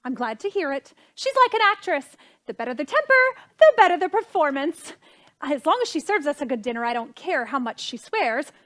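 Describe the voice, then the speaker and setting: Brief: imperious society matron for a period stage comedy, extremely high voice, swooping intonation, fretful and gushing. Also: female voice, very animated, brisk, fairly bright tone. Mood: brisk, bossy. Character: flustered, imperious society hostess, a socially ambitious mother. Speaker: stage actress. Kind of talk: theatrical period comedy acting.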